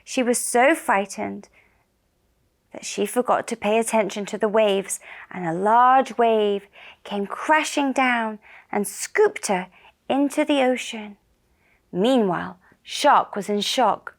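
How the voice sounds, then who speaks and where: Woman, children's storyteller, unhurried slow pace, soft vocal fry, gentle and soothing, deliberate phrasing. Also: on the high side. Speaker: storyteller and puppeteer.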